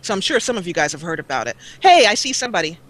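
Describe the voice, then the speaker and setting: A woman guest on a live call-in interview, talking about her gaming videos in an animated, rambling way with frequent laughter. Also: fairly low pitch, very fast. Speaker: guest, let's player. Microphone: call-in line.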